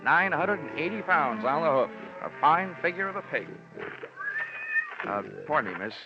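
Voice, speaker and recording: An actor playing a wisecracking insurance investigator who narrates dryly in a 1950s radio drama. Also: male voice, a little high, very animated, moderate pace. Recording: dull old radio recording with music.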